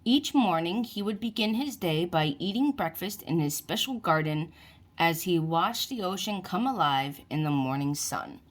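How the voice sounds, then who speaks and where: A woman reading a children's storybook aloud in an expressive, deliberate way. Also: lowish voice. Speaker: children's book reader.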